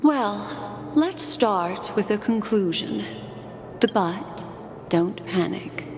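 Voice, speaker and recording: An actress playing a poised, mysterious woman guide in a video game, speaking in a measured, intimate and softly teasing way. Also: medium pitch, animated, noisy background. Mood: calm, storytelling. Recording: long reverb, music behind. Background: game music.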